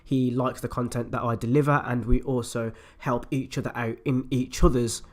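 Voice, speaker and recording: A man with a raspy voice, hoarse from a flu, chatting upbeat and direct to viewers as he reviews a microphone at home on a desktop USB mic. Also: mid-range voice, level tone, moderate pace. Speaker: YouTube channel host. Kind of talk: casual product review and unboxing. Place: at home, indoors.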